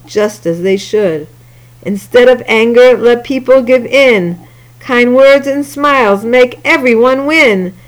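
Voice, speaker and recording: A woman doing a gentle, kindly uncle. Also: fairly high pitch, low-quality recording. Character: kind gentle uncle, middle-aged man.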